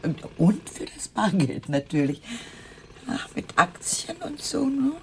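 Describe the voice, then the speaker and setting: An actress playing a giddy, extravagant older aunt in a German radio play, with an effusive, theatrical way of talking. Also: female voice, medium pitch, big pitch swings, unhurried, a bit bright, a little hiss or hum. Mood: breezy, delighted.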